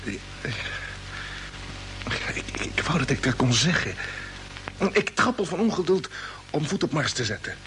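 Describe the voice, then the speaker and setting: An actor playing an earnest, uneasy spaceship commander in a radio drama, speaking haltingly and urgently. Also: male voice, on the high side, sing-song, fairly bright tone, soft background music, rough recording. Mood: pensive, uneasy. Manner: halting, urgent, with repeated words. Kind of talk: old radio drama acting.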